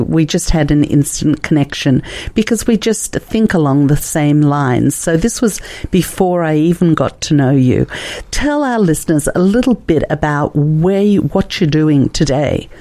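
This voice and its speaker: Mellow low-pitched woman host. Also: animated, a little slow, no echo. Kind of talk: conversational interview.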